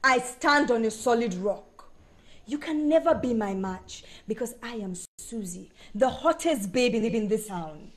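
A woman's voice: a little high, lively intonation, measured pace. An actress playing a haughty, hot-tempered young woman in a film drama, confrontational and boastful with theatrical insults. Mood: defiant, boastful. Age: young adult.

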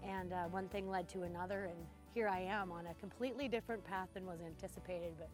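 A woman's voice: fairly low pitch, steady tone, fast, music in the background. A woman who owns a horse ranch, answering interview questions in an easygoing way with many fillers. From Montana. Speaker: ranch owner, riding instructor.

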